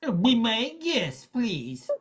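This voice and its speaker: A woman's voice: fairly high pitch, big pitch swings, extremely slow, husky, exaggerated voice. A woman playing a friendly but harried male dog owner, polite and apologetic, in an amateur radio play.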